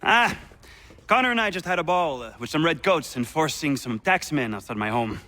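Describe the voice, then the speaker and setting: An actor playing a brawling, boastful French chef in a video game set in colonial Boston, with a French accent and a swaggering manner. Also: male voice, on the high side, sing-song, normal speed, pushed and bright. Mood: jovial. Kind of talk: video game cutscene acting. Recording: noisy game scene ambience.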